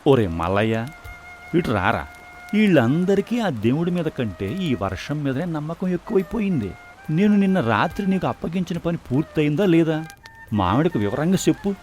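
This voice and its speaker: A man's voice: a little high, sing-song, normal speed, darkish tone, faint music behind. A man playing a poor but confident, philosophical food stall owner in a dramatized moral story, earnest and reassuring. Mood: assured. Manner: reassuring, earnest, proverb-laden.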